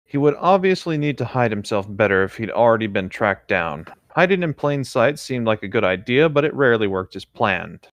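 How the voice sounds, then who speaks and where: A man reading a story aloud for a comedy podcast as co-host, in an amused, expressive way. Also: fairly low pitch, a little fast, hardly any pauses, no echo.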